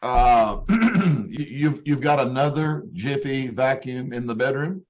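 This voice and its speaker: Man acting a slow, flirty, baffled salesman. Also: mid-range voice, animated, dull audio. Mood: puzzled. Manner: hesitant, chuckling, throat-clearing. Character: smooth-talking flirtatious salesman, easily baffled. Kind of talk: old-time radio comedy acting.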